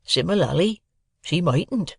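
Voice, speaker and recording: A man voicing a slow, kindly rustic blacksmith who speaks deliberately in country dialect. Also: fairly high pitch, lively intonation, creaky, breathy edge, dry recording, studio quality, voice pushed up, heavily acted.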